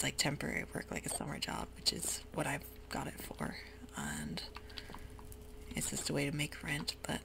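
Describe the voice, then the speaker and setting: A young woman whispering casual ASMR commentary while she plays a video game, talking in a soft, rambling way. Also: low-pitched. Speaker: ASMR gaming channel host. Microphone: desk mic while gaming. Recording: rough home recording with audible hiss.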